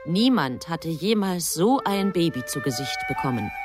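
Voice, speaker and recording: Music plays behind a composed woman an actress narrating a literary radio play. Her voice sits slightly low and tells the tale in a scripted, steady style. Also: moderate pace, close to an everyday voice.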